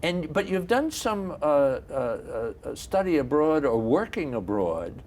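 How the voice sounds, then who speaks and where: A man's voice: a little high, very animated, slow pace, a little mellow, slight background noise. A man hosting a studio interview, asking eager, encouraging follow-up questions. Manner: curious, encouraging, asks short follow-ups. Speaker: TV interview host.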